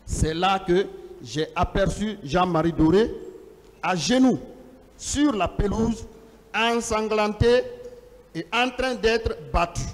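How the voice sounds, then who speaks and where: A high-voiced man addresses a formal hearing in a big, reverberant room. He speaks very slowly and declaims in short, separated phrases, as a lawyer reading out testimony and questioning. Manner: deliberate, declamatory, pausing between short phrases. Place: large courtroom. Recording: reverberant large courtroom, long echo.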